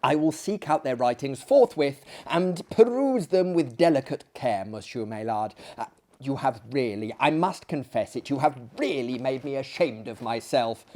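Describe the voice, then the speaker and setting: A man voicing a polite, flustered, deferential gentleman visitor, stammering and fussy, in a theatrical storybook reading. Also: medium pitch, lively intonation, normal speed, close to an everyday voice. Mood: embarrassed, humble. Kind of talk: theatrical audiobook character acting.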